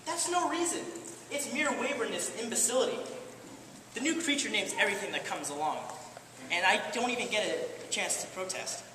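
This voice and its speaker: A woman's voice: medium pitch, expressive, fairly quick, bright, forceful tone. A young woman playing a grumpy, solitary, put-upon first man in an amateur stage play, complaining in an exasperated, pompous way. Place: large echoey hall, live stage performance. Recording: echoey hall, distant mic, noisy.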